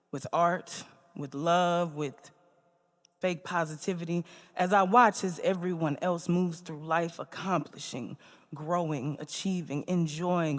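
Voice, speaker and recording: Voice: medium pitch, lightly acted. A performer playing a troubled, fearful artist baring a wounded inner self in an emotive theatrical spoken-word monologue. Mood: bitter, sorrowful. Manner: emotive, dramatic, swelling and dropping. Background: music.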